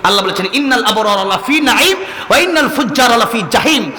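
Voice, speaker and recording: A man with a Bangladeshi accent preaching an Islamic sermon, forcefully exhorting listeners with repeated rhetorical questions. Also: extremely high pitch, lively intonation, a little fast. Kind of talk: impassioned waz sermon with call-and-response questions and Arabic recitation. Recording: echoing PA with long reverb.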